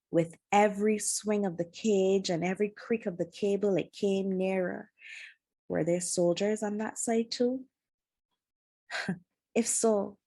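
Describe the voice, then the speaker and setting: A woman narrates an adventure novel from the page. Her voice sits at an ordinary middle pitch, and she tells the story in an expressive, dramatic storybook style. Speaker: storybook reader. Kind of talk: scripted narration, children's adventure novel read aloud.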